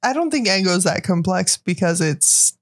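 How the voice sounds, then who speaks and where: A man's voice: sits high, animated, moderate pace, no echo, clean studio sound. A man co-hosting a casual bartending podcast, matter-of-fact in his remarks. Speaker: podcast co-host.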